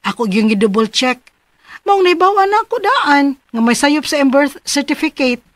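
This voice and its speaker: High-pitched young woman, Cebuano accent, diligent, excitable, radio drama bride. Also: moderate pace, dry recording. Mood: reassuring. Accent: Filipino, Cebuano. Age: young adult.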